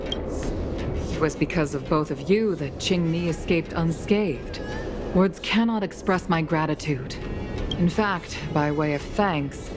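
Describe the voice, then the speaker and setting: An actress playing a dignified, stern mother and high-ranking commander in a video game, formal and authoritative. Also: takes it slowly, music in the background. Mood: formal, gracious. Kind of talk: scripted video game voice acting.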